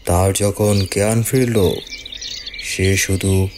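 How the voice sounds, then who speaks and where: Low slow guy narrating a scary cartoon story, birds and crickets noisy behind him. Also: fairly even intonation. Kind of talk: scripted horror story narration.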